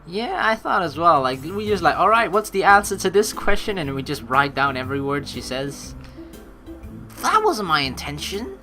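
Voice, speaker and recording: Low, lively, bright-voiced woman gamer. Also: moderate pace, soft background music. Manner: animated, playful, blunt and casual. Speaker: let's play streamer. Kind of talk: let's play commentary, reading game text aloud.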